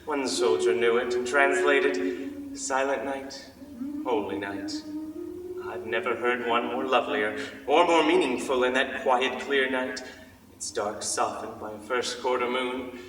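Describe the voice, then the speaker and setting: A man's voice: medium pitch, unhurried, fairly bright tone, lightly acted. Echoey man reading as a tender, awestruck soldier. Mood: wistful wonder. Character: tender, awestruck British soldier writing to his sweetheart. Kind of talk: reader's theater storytelling. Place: large indoor theater stage. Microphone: far camera. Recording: echoey theater stage, distant, faint noise.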